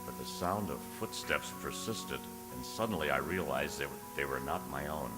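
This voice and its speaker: A man's voice: very deep voice, lively intonation, moderate pace. A man playing a secretive, easily unnerved poet, narrating his diary in a literary, theatrical radio drama style. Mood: unnerved, hushed. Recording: reverberant radio play with music underneath.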